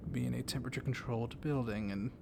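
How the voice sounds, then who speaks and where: A man playing a gently dominant, comforting vampire librarian in an intimate ASMR roleplay, speaking softly and haltingly, often slipping into a whisper. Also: mid-range voice, fairly even intonation, lightly acted. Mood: wistful, gentle. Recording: clear storm noise behind the voice. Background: thunderstorm ambience.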